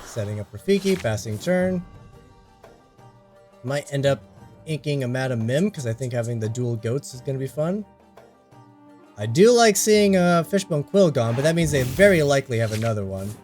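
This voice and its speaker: A man's voice: mid-range voice, very animated, normal speed, darkish tone, faint music behind. A man commentating his card game play while sick and losing his voice, chatty as he explains his choices. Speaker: card game content creator. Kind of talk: casual gameplay commentary.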